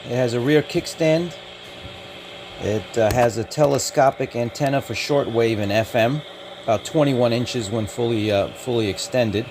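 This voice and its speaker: A man's voice: mid-range voice, normal speed, background noise. A man giving a casual hands-on product review in a matter-of-fact way, listing details in short clipped phrases. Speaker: radio hobbyist reviewer. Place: indoors.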